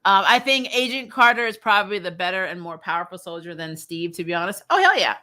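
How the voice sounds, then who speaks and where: Bright, forceful woman chatting. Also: mid-range voice, expressive, quick pace. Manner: laughs readily, blunt, emphatic, lightly swearing. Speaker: host of a fan review show. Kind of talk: casual chat, answering viewer comments.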